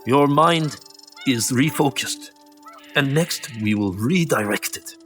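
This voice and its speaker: An actor playing a grave, gentle middle-aged father and martial mentor in a video game, measured and instructive. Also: mid-range voice, animated, soft background music. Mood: calm, instructive. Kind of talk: dramatic video game cutscene acting.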